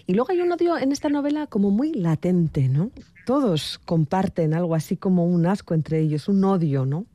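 Attentive interviewer with a mellow, dry voice. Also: mid-range voice, lively intonation, moderate pace, no echo. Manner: attentive, frequent short backchannels. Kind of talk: radio interview.

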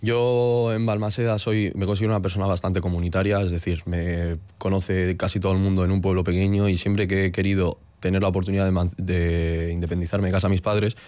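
A man from the Basque Country, speaking earnestly as a guest in a radio interview, with halting fillers. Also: sits low, flat delivery, a little mellow, dry recording.

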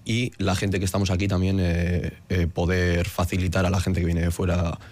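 A man from the Basque Country talks as an interview guest. His voice is very deep and stays flat, with little rise or fall. He speaks in a measured way and pauses often to hesitate. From Basque Country, Spain. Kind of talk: interview conversation.

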